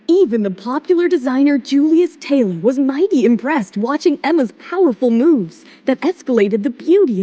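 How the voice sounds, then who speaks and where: A woman's voice: sits high, mellow, no echo, highs cut off. A woman narrating a scripted romance drama as its storyteller.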